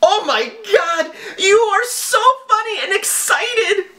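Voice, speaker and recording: A man voicing a melodramatic, excitable email-scam hacker, with exclamatory delivery and drawn-out words. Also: very high-pitched, voice pushed up, heavily acted. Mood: hysterical excitement.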